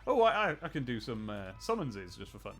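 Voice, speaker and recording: A man's voice: medium pitch, huge pitch swings, a little fast, music in the background. A man streaming a video game late in the day, sleepy but chatty, laughing and retelling scenes from old TV. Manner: chatty, laughing, tells anecdotes with put-on quotes. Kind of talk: casual live-stream chat.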